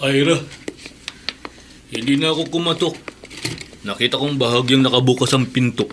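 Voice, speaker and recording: Man playing a quiet, secretive husband. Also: medium pitch, moderate pace, background noise, lightly acted. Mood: subdued. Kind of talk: radio drama acting.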